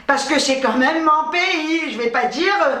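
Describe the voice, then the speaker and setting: A woman's voice: high-pitched, unhurried, bright, forceful tone. A middle-aged woman from North Africa chatting casually as a vlogger, rambling playfully with some salty language. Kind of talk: casual chatty grocery haul. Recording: roomy, distant microphone.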